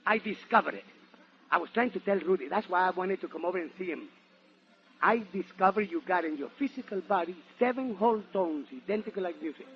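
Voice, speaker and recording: A man's voice: high voice, brisk. A man from Nicaragua with a Spanish accent, performing a theatrical comedy monologue on a muffled, hissy 1940s radio recording. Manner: theatrical, animated, exclamatory. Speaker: guest comedian and entertainer on a radio show.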